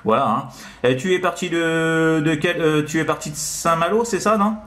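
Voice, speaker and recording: A man chatting casually as a radio operator, in a halting, repetitive way full of verbal tics. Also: fairly high pitch, a bit bright, reverberant. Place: indoors in an apartment by a window.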